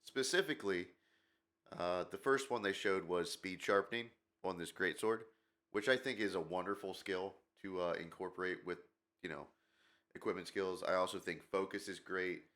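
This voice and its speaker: A man's voice: low-pitched, normal speed, a bit bright. A man hosting a gaming channel, talking in a rambling, opinionated way with lots of fillers, his nose stuffed up from a cold. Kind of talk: casual commentary video.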